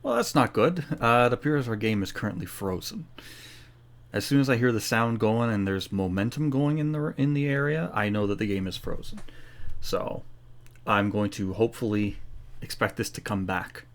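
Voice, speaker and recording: Laid-back low-voiced man commentating a game. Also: expressive, moderate pace. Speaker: gaming streamer. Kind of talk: casual playthrough commentary.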